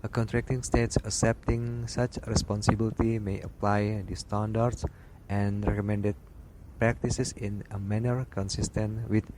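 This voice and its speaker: A man reading aviation study material aloud in a slow, halting way, often restarting a word. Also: lowish voice, little pitch movement, noisy background. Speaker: aviation instructor.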